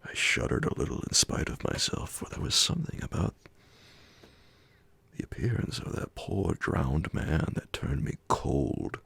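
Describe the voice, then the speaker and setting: A man narrates a horror bedtime story in an extremely low voice full of heavy fry. His delivery is hushed, measured and slow, made for ASMR. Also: sing-song, no room sound. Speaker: narrator reading classic horror fiction. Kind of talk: ASMR audiobook scripted narration.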